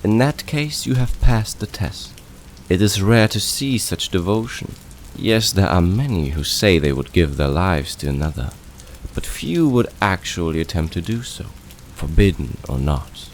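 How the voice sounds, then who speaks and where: A man playing a wise, stern but compassionate old dragon lord in human form, speaking in a solemn, measured, formal way in an audio drama. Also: sits low, animated, slight background noise. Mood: solemn, approving. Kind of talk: audio drama character acting.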